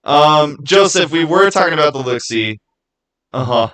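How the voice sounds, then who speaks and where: A man's voice: fairly high pitch, lively intonation, moderate pace, fairly bright tone. Casual, rambling male livestreamer. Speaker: livestream host. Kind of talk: casual livestream chat, answering viewers' comments.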